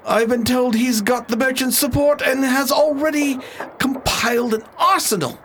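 A man's voice: extremely high pitch, normal speed, fairly bright tone, a little hiss or hum. A man playing a worldly, wryly amused colonial governor in a radio drama, with formal, theatrical old-fashioned speech.

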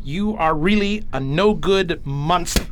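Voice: mid-range voice, measured pace, slight background noise, natural voice. An actor playing a weary, loyal space detective in an audio drama, blunt and incredulous in manner. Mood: bitter.

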